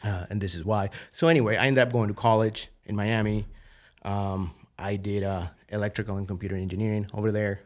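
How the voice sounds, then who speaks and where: A man in his thirties with a Colombian accent and a fairly low voice talks as a podcast guest. His telling is loose and animated, full of "dude" and "you know". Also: fairly quick. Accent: Colombian Spanish. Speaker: podcast guest, biotech founder. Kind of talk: casual conversational interview.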